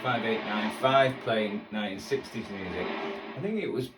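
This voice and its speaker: A man's voice: lowish voice, normal speed, background noise. A man chatting casually as he tunes a shortwave radio, with music playing from the set. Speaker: shortwave radio hobbyist presenter. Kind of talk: casual chat while tuning a radio. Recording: roomy, distant mic, music behind.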